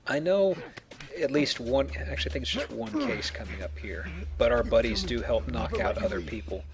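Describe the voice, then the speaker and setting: A man's voice: mid-range voice, normal speed, a crowd in the background. A man hosting a let's play with casual, playful commentary and jokey asides. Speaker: let's play host and player.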